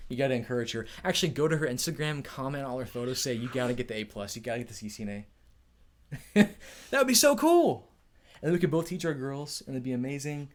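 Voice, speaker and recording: A man's voice: mid-range voice, sing-song, fast. Upbeat chatty man hosting live. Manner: upbeat, chatty, laughs often. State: overheated. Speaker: tech YouTuber hosting a live Q&A. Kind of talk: casual live-stream chat.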